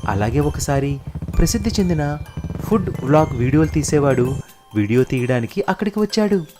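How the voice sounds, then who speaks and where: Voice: mid-range voice, animated, brisk, music in the background. A narrator reading scripted moral stories for children.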